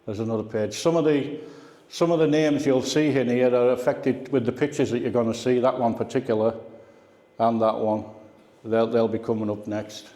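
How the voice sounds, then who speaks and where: A man's voice: medium pitch, fairly even intonation, normal speed, dark tone. An older man giving an informal slide talk of personal reminiscences, explaining things in a conversational way. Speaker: guest speaker at a radio club. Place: indoor club meeting room. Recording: echoey, reverberant meeting room.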